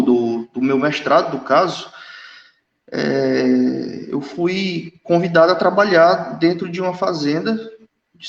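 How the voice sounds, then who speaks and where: A man in his thirties from northeast Brazil speaks as the guest in a live online interview, hesitating and repeating words. His voice sits fairly high. It is recorded with long reverb and a dull, lo-fi sound. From Ceará, northeast Brazil. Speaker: guest expert. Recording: echoey room, dull lo-fi sound.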